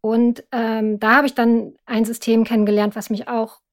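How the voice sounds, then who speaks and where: A woman's voice: a little high, flat delivery, moderate pace. A middle-aged woman speaks as a guest in an interview, recounting personal experience. She is reflective and often hesitates as she looks for the right word, keeping a conversational tone. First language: German. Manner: reflective, hesitant, searching for words.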